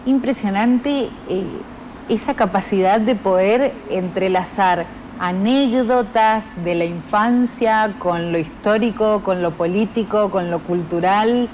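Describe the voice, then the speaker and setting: A woman's voice: mid-range voice, slow pace, a little mellow, a little hiss or hum, low-quality recording. Attentive Argentine woman interviewer. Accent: Argentine Spanish. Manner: attentive, gives frequent short backchannels. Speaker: interviewer host. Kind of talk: interview conversation.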